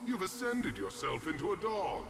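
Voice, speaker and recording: An actor playing a haughty, ancient star dragon in a video game, speaking with pompous, theatrical, condescending sarcasm. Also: male voice, fairly high pitch, wildly swooping pitch, normal speed, vocal fry, a loud crowd, heavily acted. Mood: mocking. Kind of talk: video game character voice lines.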